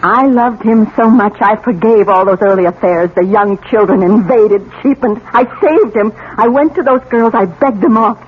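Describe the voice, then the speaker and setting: An actress playing a bitter, lonely middle-aged wife and mother in a theatrical, halting 1970s radio drama style. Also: medium pitch, breathy edge, close to an everyday voice. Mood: anguished, confessing. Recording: tinny, noisy old radio broadcast.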